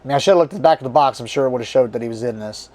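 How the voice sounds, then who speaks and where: A man hosting a casual card game unboxing, his voice strained and tiring as he reads card names aloud in short halting bursts. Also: medium pitch, darkish tone. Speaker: board game channel host.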